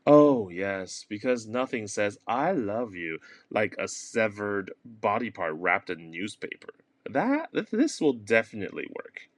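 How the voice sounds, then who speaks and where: A man's voice: fairly low pitch, big pitch swings, a little slow, few pauses, no room sound. A man voicing a talking body part, an anxious and sarcastic ear in a comic stage play. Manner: nervous, sarcastic. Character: talking severed ear, nervous, pleading, sarcastic.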